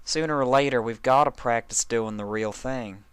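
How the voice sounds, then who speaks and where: A man's voice: mid-range voice, moderate pace, a bit bright, clear, resonant tone, no echo, voice pushed up, lightly acted. A man voicing a plainspoken, uneasy female country farm pony with a hesitant, folksy manner in a story reading. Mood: practical.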